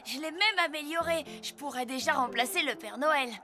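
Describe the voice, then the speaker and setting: A woman's voice: high voice, moderate pace, sharp tone. A woman voicing an eager, boastful young boy in a French cartoon, with childlike exclamations. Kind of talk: French animated cartoon dubbing. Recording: music behind the voice.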